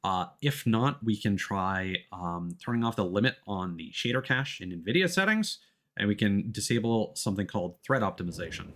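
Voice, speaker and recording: A man giving casual, explanatory let's-play commentary as a game streamer, talking in a halting way. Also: on the low side, lively intonation, fairly bright tone. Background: background music.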